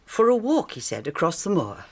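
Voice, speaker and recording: A deferential female housekeeper, courteous and very lilting in pitch. It sounds like a radio drama, with dishes clattering behind. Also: very animated, moderate pace, background noise. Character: polite, deferential housekeeper serving breakfast.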